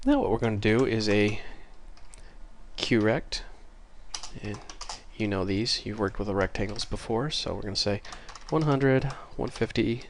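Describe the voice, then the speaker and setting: A man's voice: on the low side, unhurried. A man giving a casual screencast programming tutorial, informal and apologetic as he thinks aloud, sounding a little congested. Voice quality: slightly congested, stuffy nose. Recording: clear keyboard and mouse clicking noise. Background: typing and mouse clicks.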